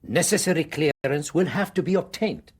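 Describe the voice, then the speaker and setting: An actor playing an imperious, ambitious government minister in a radio drama, speaking formally and emphatically. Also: male voice, fairly high pitch, lively intonation, moderate pace, slightly rough. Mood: officious, guarded.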